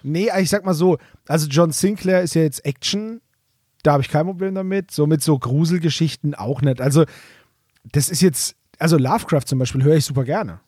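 Man, podcast regular, lighter higher pitch, colloquial off-the-cuff delivery, dry recording. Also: fairly quick, darkish tone, dry recording. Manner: colloquial, slangy. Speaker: podcast co-host. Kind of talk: casual podcast chat.

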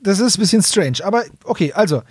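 A man's voice: sits high, expressive, fast talker, husky, no room sound. A man chatting casually and humorously as a podcast co-host, colloquial and jokey, slipping into short mock quotes. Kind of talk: casual humorous chat recapping an audio drama.

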